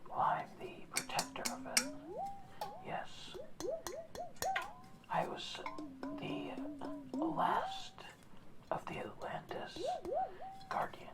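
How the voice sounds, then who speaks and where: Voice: extremely high pitch, wildly swooping pitch, distant mic, full character voice. Someone whispering as a wise male orca guardian. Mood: hushed, curious. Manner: slow soft whispering, questioning the listener. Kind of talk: ASMR roleplay.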